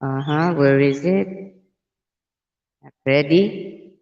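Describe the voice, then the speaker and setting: A slightly hoarse woman chatting hesitantly as a guest on a livestream. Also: low voice, a little mellow. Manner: slow, hesitant, many fillers. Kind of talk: casual livestream chat. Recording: roomy, muffled remote audio.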